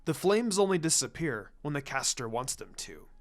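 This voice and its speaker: A man voicing a serious adult ninja mentor in a fan fiction reading, calm, stern and deliberate as he explains. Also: fairly high pitch, soft background music.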